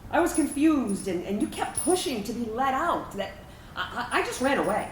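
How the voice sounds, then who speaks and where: Woman playing a wistful veteran, high and animated. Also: normal speed. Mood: wistful reminiscence. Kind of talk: staged reading of a personal narrative play. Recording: roomy, distant microphone, faint background noise.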